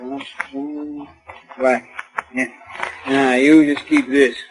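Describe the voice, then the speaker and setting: A man's voice: mid-range voice, little pitch movement, slow, soft background music, poor audio. A man playing a laconic, folksy garage mechanic who talks in casual slang in a 1940s radio drama. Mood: laconic, wary. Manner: casual, slangy, hesitant mumbles. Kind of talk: 1940s radio crime acting.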